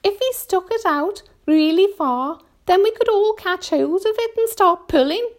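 A woman voicing a bright, eager male earthworm from a storybook, gloating in a sing-song way. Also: very high-pitched, animated, unhurried, voice pushed up. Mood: eager, gleeful.

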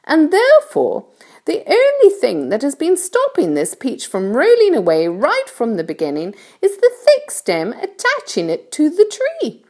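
Lady doing a kind storybook ladybird, high lilting voice, assured and reassuring, kinda emphatic. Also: wildly swooping pitch, a little slow, darkish tone, exaggerated voice. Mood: explaining, reassuring. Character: kind gentle female ladybird, calm and assured.

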